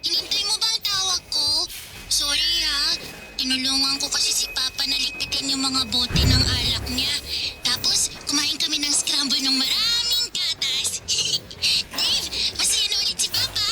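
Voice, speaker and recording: A soft-spoken teenage girl, played by a woman in a radio drama, wistful and gentle. Her voice is high. Music swells loudly beneath her. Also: moderate pace, natural voice. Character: sad teenage girl missing her mother.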